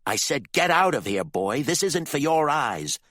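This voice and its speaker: A man's voice: fairly high pitch, sing-song, unhurried, a bit bright, no room sound, clean studio sound, pitched above the performer's own voice. A man voicing a cruel, scheming father who calls himself Reverend, sneering and threatening. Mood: menacing.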